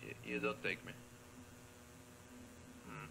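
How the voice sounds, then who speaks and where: A man's voice: slow pace, noisy background. A man doing casual gameplay commentary, muttering to himself in short fragments. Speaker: gamer playing and commenting. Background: game music.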